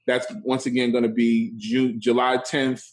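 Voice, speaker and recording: A man co-hosting a podcast, giving relaxed shout-outs and announcements in casual chat. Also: medium pitch, normal speed. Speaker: podcast co-host.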